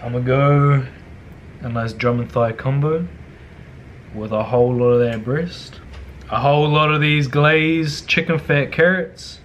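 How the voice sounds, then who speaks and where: A young man with a New Zealand accent, talking casually through a cooking tutorial in his home kitchen, slangy and swearing offhand, often dropping to low mutters. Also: a little slow, a bit bright, slight background noise. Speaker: cooking vlogger.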